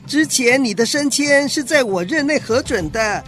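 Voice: high voice, animated, a bit bright, faint music behind. An actor playing a scheming retired male tiger frog, wheedling and insinuating, in a children's radio drama. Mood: veiled threat. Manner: slow, insinuating, with knowing chuckles.

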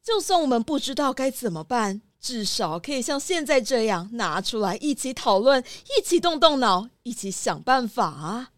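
A woman voicing a gentle, sensible town mayor who speaks reasonably in a children's radio drama. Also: fairly high pitch, sing-song, normal speed, few pauses, no room sound, studio quality.

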